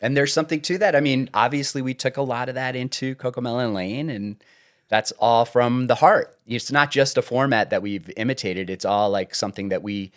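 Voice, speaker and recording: A man from suburban New York, a guest in a podcast interview, telling stories in a casual, lively way with deadpan teasing jokes. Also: mid-range voice, a little fast.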